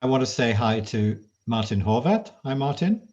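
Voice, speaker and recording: A man on an online meeting, greeting people by name in a relaxed way, with light humour. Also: medium pitch, moderate pace. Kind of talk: casual chat. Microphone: video call. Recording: muffled video call audio.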